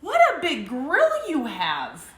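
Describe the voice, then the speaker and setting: A small, polite scooter boy speaks eagerly and a little nervously in a picture-book story, played by a woman reading to children. His voice is high and lively. A roomy echo hangs around it. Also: slow pace, exaggerated voice.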